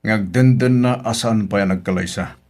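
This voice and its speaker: A man playing an authoritative middle-aged father in a Filipino radio drama, deliberate and admonishing. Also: fairly low pitch, darkish tone, lightly acted. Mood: firm, advising.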